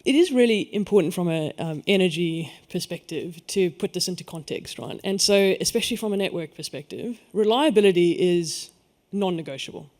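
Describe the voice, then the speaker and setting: A man's voice: sits high, expressive, fairly quick, few pauses, a little mellow. A man with a South African accent speaking on a conference panel, stressing key words and then letting his voice fall away. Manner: emphatic, lifts his voice on key points then trails off. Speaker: energy industry panelist.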